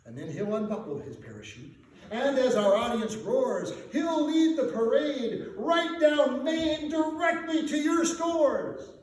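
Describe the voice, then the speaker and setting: A man's voice: high-pitched, a little mellow. A man reciting a rhyming comic holiday story in a theatrical, emphatic, sing-song way, as a live storyteller to an audience. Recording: distant mic in echoey room, faint noise.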